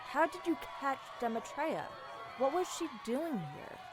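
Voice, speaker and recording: High-voiced sassy young assassin played by a woman. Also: a little slow, a crowd in the background. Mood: curious, excited. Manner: chatty, excitable, snarky. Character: young female assassin, sassy, eager, defiant. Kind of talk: amateur fantasy audio roleplay acting.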